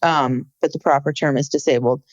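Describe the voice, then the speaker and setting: A woman's voice: low-pitched, steady tone, a little fast, dry recording. A woman co-hosting a casual podcast, earnestly explaining background and retelling what she saw. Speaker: podcast co-host. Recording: dull, dry, slightly lo-fi mic.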